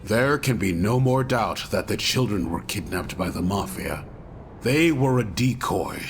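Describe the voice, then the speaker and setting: An actor playing a resolute investigator who gives measured briefings to a detective in a mystery game. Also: male voice, fairly low pitch, animated, soft background music. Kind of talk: scripted game cutscene dialogue.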